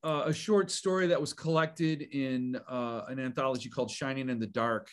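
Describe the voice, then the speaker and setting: A man hosting a book channel interview, enthusiastic and halting, full of fillers and restarts. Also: mid-range voice, fairly even intonation. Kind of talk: casual interview conversation.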